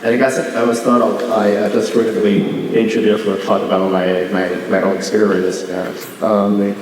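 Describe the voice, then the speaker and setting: A man talks through his slides in a fairly low voice, stopping often for ums and uhs. He is picked up from some distance in a big, very reverberant gallery hall with a faint hiss behind him. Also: flat delivery, normal speed. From Beijing, China. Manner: hesitant, many fillers and restarts. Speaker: visiting artist. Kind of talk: artist talk with slides. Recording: echoey gallery hall from far off.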